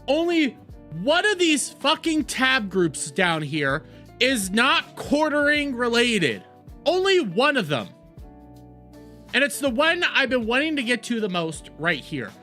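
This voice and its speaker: A man hosting a livestream, talking in a rambling, profane and excitable way while greeting his chat. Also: very high-pitched, measured pace, faint music behind. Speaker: livestream host.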